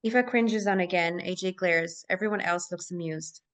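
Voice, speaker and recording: A woman's voice: on the low side, moderate pace, a bit bright. A woman narrating stage directions in a scripted live table read.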